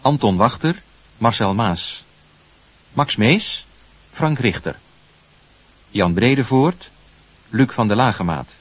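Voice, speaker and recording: A man with a husky voice reading a scripted announcement of credits. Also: mid-range voice, lively intonation, slow, long pauses, no room sound.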